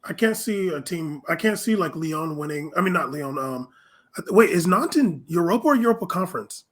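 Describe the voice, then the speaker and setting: A man with a clearly high, bright voice. He speaks as a podcast guest in loose, casual sports talk, with a forward, sharp tone. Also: lively intonation, normal speed.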